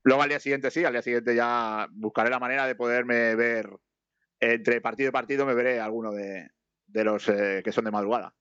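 A man's voice: medium pitch, a little fast, smooth, no room sound, lo-fi sound. A man with a Spanish accent giving casual live football commentary, rambling along and lifting his voice on attacks. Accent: Spanish from Spain. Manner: rambling, lifts to excited calls on attacks.

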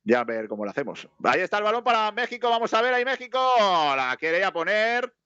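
A man's voice: sits high, fast, clean tone, dry recording, muffled recording. Spanish man calling a match, rambling. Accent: Spanish from Spain. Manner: rambling, lifts to excited calls on attacks. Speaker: football commentator. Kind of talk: casual live match commentary.

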